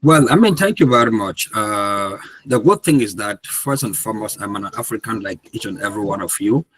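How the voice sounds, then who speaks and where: A man's voice: lowish voice, animated, brisk, fairly bright tone, low-quality recording. A man from Cameroon, giving an earnest, repetitive motivational talk over a video call as guest speaker.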